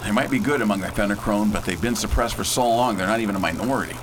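A man playing a bold scientist who leads a space crew in a radio drama, brisk, folksy and commanding. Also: on the low side, background noise, lightly acted. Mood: grave, determined. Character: bold, decisive space-hero scientist and leader.

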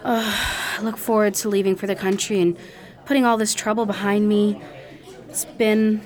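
A woman's voice: medium pitch, level tone, normal speed, natural voice. A woman playing a fragile, nervous young lady in an amateur radio drama. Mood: weary, hopeful.

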